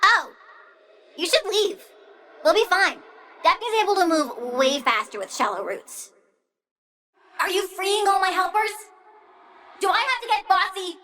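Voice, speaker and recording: A woman's voice: extremely high pitch, very animated, unhurried, long pauses, pushed and bright, distant mic, heavily acted. An actress voicing a young girl plant villain in a video game, bossy, doting and vengeful, with a childish, petulant, cutesy menace.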